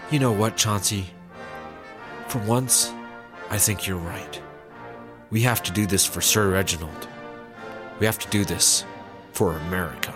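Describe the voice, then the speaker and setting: A man's voice: sits low, a little slow, long pauses, over music. A man playing an earnest, hesitant squad partner in a sci-fi comedy audio drama. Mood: resolute.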